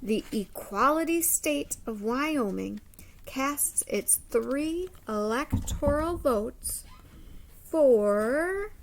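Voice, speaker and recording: A woman voicing earnest schoolchildren in a storybook who formally and proudly announce votes in a drawn-out, declamatory way. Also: sits high, lively intonation, slight background noise, exaggerated voice, poor audio.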